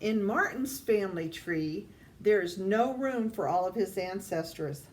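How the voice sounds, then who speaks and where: An older woman reads a children's picture book aloud in a deliberate way, addressing young listeners. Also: mid-range voice, animated, darkish tone. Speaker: storytime reader. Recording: distant mic, faint background noise.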